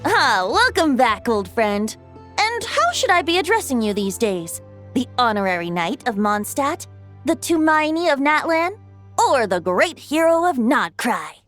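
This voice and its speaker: Casting: boyish young male bard for anime game, high lilting voice, playful, theatrical, flowery storyteller. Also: sing-song, a little slow, fairly bright tone, soft background music, exaggerated voice. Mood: playful, welcoming.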